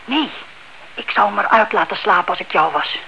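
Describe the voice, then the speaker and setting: An actress playing a stubborn, mischievous elderly aunt and amateur sleuth in an old Dutch radio play, airy and insistent, with a Netherlands accent. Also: female voice, mid-range voice, animated, fairly quick, sharp tone. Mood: airy, unconcerned. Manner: airy, insistent, unflappable, chattering. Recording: dull, noisy old radio recording.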